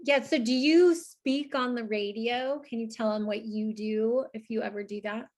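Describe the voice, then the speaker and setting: A woman's voice: on the high side, fairly even intonation, moderate pace, a little mellow. A woman speaking informally and encouragingly as a teacher, moderating children's questions in a casual, friendly way. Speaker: teacher hosting a student question session.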